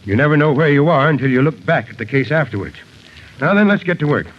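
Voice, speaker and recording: Fire chief, played by a male actor: commanding, blunt, blustery, higher-set voice, old radio serial on a noisy recording. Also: expressive, quick pace, highs cut off, lightly acted. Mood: firm, confiding. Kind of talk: old-time radio drama acting.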